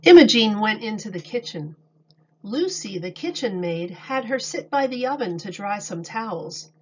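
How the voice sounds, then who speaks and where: A woman reading a picture book aloud to children like a teacher, deliberate and expressive. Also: mid-range voice, husky. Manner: deliberate, expressive, explains words for young children. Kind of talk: read-aloud storybook narration. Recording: dull lo-fi recording.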